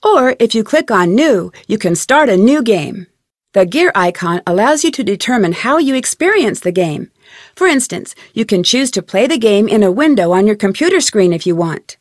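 A woman playing a chipper, curious young detective, giving friendly, clearly articulated tutorial narration for a game. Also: medium pitch, sing-song, moderate pace, dry recording, clean studio sound. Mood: friendly, instructive.

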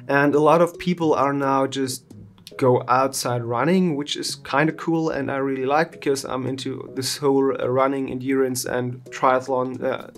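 Man, flat casual unboxing talk. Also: mid-range voice. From Germany. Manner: informal, loose non-native phrasing with fillers. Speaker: tech channel host. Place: small home studio.

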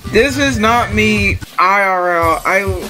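A man's voice: high-pitched, slow, sharp tone. A man reacting casually to a game, joking, exclamatory and profane. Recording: music behind the voice.

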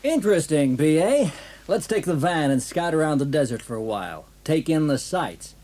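Unflappable team commander for audio drama. Dry, laconic delivery, voice a little high. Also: male voice, big pitch swings, normal speed, dark tone, slight background noise. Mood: commanding. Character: wry, unflappable male mercenary team leader. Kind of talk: 1980s children's audio drama acting.

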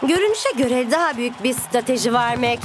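A woman's voice: a little high, lively intonation, a little fast, background noise, natural voice. A woman voicing a keen television football commentator in a cartoon, calling the match. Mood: keen, informative. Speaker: sports co-commentator. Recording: music behind the voice.

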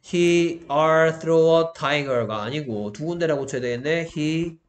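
A man's voice: a little high, takes it slowly, slight background noise. A man teaching English grammar, explaining step by step and often asking questions to check understanding. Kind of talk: explanatory lesson with questions to students.

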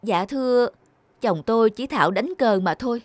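An actress playing a gentle, sorrowful village wife and mother in a cartoon, plaintive and earnest. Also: on the high side, expressive, moderate pace, dry recording. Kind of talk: cartoon voice acting.

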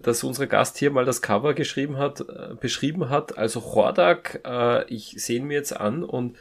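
A man chats as co-host of a review podcast. His voice has an average male pitch, and he talks in a casual, conversational style. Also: normal speed, gravelly.

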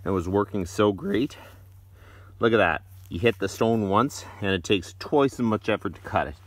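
A man's voice: on the low side, lively intonation, normal speed, dry recording. A young man talking casually to the camera while cutting firewood outdoors in the woods, thinking aloud between jobs. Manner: chatty, thinking aloud between chainsaw cuts. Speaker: homesteader vlogger.

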